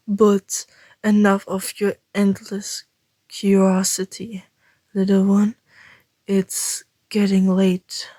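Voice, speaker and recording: A woman playing an ancient, teasing vampire in an intimate ASMR roleplay, breathy and often whispering, calm and deliberate. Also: medium pitch, dry recording. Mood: gently teasing. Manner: slow, deliberate, teasing, with long pauses.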